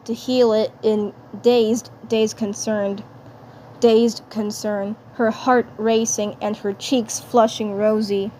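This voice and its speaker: Casting: female amateur storyteller, higher voice, very slow halting read with repeated phrases, slightly noisy home recording. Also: mellow. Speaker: fan fiction narrator and voice performer.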